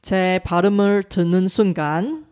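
A woman from Taiwan, a native Chinese speaker with a Taiwanese accent, giving a scripted lesson as a language teacher in a careful, measured way. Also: on the low side, darkish tone, clean tone. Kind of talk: scripted educational narration.

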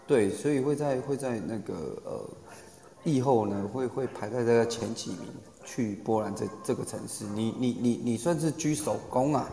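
A man hosts a casual live chat in a mid-pitched voice. He speaks haltingly, repeating words as he goes, and the recording is echoey with long reverb. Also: creaky, warm tone, soft background music.

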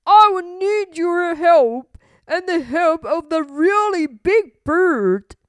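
Storybook elephant hero played by a woman: high voice, very slow, polite, firm, close dry sound. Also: expressive, no room sound, exaggerated voice. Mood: firm, planning.

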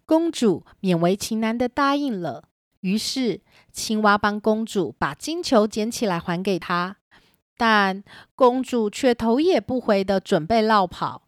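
A woman's voice: mid-range voice, animated, unhurried, dry recording, clean studio sound. A woman narrator reading a scripted story for children.

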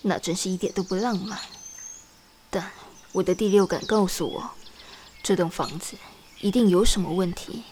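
A woman's voice: medium pitch, moderate pace, long pauses, warm tone, slight background noise, natural voice. A woman playing an anxious, melancholy young nineteenth-century wife in a Mandarin radio drama, confiding her unease. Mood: uneasy, suspicious.

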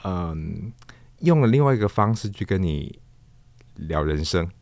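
Middle-aged male interview guest, Taiwanese accent, low-pitched, close dry sound, thoughtful and halting as he reflects. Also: lively intonation, creaky, a little mellow, no echo. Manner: thoughtful, searching for words, repeats phrases. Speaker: guest, stage actor and storyteller.

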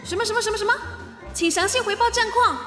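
Casting: bold young female confidante, high forceful voice, theatrical and cocky, roomy reverb with music. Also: fast talker. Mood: exclaiming. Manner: theatrical, self-assured. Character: bold, cocky young female best friend giving love advice. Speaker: voice actor in radio drama. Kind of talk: modern urban comedy radio drama acting.

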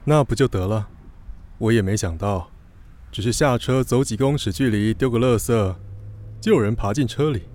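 A man playing a calm, easygoing, helpful businessman in an audio drama, unhurried and drily understated. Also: on the low side, close to an everyday voice. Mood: drily amused.

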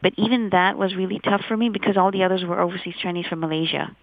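Middle-aged woman from india, american-ish accent, gravelly, upbeat, dry and dull lo-fi sound. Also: on the low side, fairly even intonation, fast talker, no room sound. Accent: American-leaning English picked up in Taiwan. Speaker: guest, simultaneous interpreter. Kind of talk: casual interview chat.

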